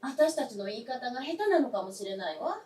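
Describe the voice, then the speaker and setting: A woman's voice: a little high, animated, fairly quick, warm tone, natural voice. A woman playing a genteel, candid grown woman of the family in a stage radio drama, with polite old-fashioned feminine speech. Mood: light, polite. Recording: roomy, distant stage recording.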